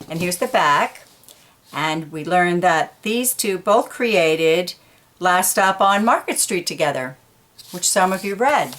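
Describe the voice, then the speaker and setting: A woman's voice: fairly low pitch, a bit bright. A woman reading a picture book aloud to children, mixing storytelling with informal conversational asides about the pictures. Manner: explains pictures in informal asides between read lines.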